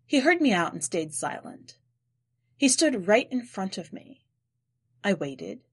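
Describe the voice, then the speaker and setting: A woman reading scripted literary narration in a measured, deliberate way. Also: medium pitch, big pitch swings, husky. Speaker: podcast editor and story reader.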